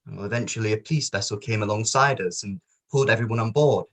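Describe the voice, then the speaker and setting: Low-voiced actor as a sombre inquiry witness. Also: male voice, fast talker. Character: male witness giving sombre evidence at a disaster inquiry. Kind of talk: table read of a courtroom play.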